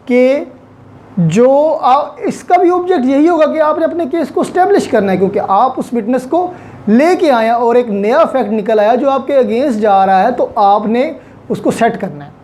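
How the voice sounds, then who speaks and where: A woman's voice: high voice, lively intonation, normal speed, mellow. A woman with a Pakistani accent lecturing on law in a didactic way, mixing in English terms and repeating key points for emphasis.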